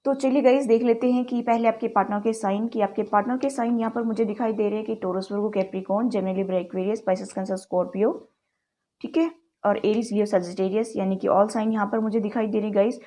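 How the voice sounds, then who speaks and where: Woman, tarot reader, chatty direct-to-camera style, warm tone, sore throat. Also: medium pitch, steady tone, quick pace. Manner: chatty, keeps addressing viewers as "guys"; mixes English words into Hindi.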